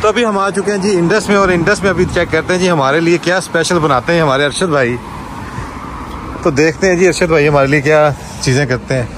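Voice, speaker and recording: A man's voice: fairly high pitch, brisk, background noise. A man in his forties vlogging casually, talking straight to a handheld phone at arm's length and promoting his shop. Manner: lively, promotional, talks straight to the camera. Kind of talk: casual vlog chat. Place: shop, street and restaurant kitchen.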